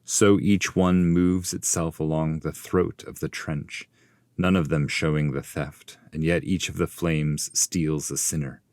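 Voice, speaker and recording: A man reading classical epic poetry as an audiobook narrator, in a solemn, measured, literary delivery. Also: very deep voice, little pitch movement, a little mellow, no room sound, studio quality.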